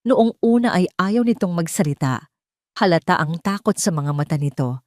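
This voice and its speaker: A woman's voice: on the low side, lively intonation, moderate pace, a little mellow, no room sound, studio quality. A woman with a Filipino accent reading a scripted, dramatic love story as narrator.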